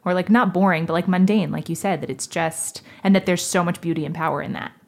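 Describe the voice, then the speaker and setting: A woman with a fairly low voice and pronounced vocal fry chats as a podcast interviewer. Her style is casual and affirming, with "like" scattered through her sentences. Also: fast. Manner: chatty, affirming, heavy use of "like".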